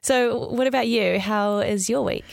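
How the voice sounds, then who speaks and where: A woman's voice: medium pitch, unhurried, gravelly, no room sound, studio quality. A woman co-hosting a casual podcast, a little nasal from a cold, chatty and upbeat, thinking aloud as she talks. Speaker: podcast co-host.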